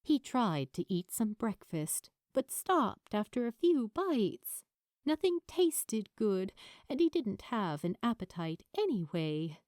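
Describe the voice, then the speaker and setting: A woman reading a story as an audiobook narrator, with dramatic, deliberate phrasing and pauses. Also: medium pitch, dark tone, dry recording, studio quality. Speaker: narrator of fan fiction.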